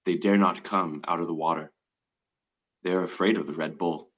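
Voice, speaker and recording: Haughty old fantasy tyrant played by a man, low voice, grand and menacing stage delivery. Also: fairly even intonation, moderate pace, fairly bright tone. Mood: gloating, menacing. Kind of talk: dramatic table read of a stage play.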